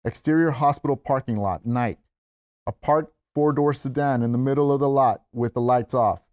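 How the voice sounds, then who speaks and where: A man's voice: medium pitch, normal speed, a little mellow. Man narrating screenplay stage directions. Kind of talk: scripted screenplay narration at a table read.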